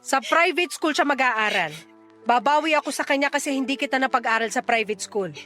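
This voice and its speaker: A woman's voice: sits high, a little fast, soft background music. A Filipino woman acting as a playful, fiercely protective single mother around forty in a melodramatic radio drama. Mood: indignant, protective. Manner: animated, teasing, exclamatory.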